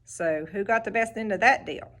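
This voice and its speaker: A woman reading a storybook aloud as a teacher to children, expressive and instructive, with chatty asides. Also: mid-range voice, normal speed.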